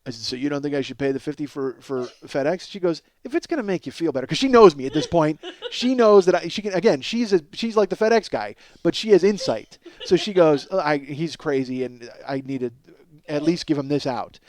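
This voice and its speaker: High-pitched man, animated comic storyteller. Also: brisk. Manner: animated, exaggerating, acts out both sides of conversations. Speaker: podcast host. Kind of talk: comedic storytelling monologue, casual and profane.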